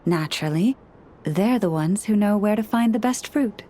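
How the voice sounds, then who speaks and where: An actress playing a gentle, scholarly young priestess in a video game, speaking in a formal, measured, courteous way. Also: female voice, mid-range voice, animated, slight background noise, close to an everyday voice. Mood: gracious, courteous. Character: gentle, scholarly young woman, a nature-loving moon priestess. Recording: music behind the voice. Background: background music.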